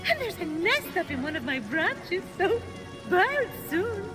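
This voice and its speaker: An actress playing an emotional, theatrical goddess of love in a video game. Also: female voice, very high voice, sing-song, a little slow, music in the background, heavily acted. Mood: gentle, tentative. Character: anguished, dramatic goddess of love.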